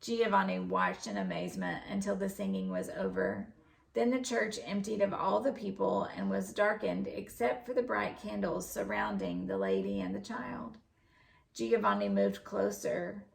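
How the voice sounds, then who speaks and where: A woman reading a children's story aloud as an expressive storyteller. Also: medium pitch, moderate pace, gravelly, rough recording. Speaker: storybook reader. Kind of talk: scripted narration, children's story read aloud.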